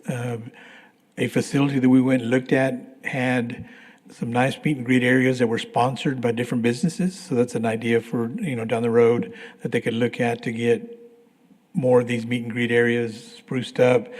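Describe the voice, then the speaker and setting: A hoarse man walks a committee through a construction update, halting and restarting as he goes. His delivery stays flat and even. The meeting-room livestream carries a long hall reverb. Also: mid-range voice. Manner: plain, halting, many restarts and fillers. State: losing his voice, clears throat. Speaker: construction manager. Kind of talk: public meeting presentation.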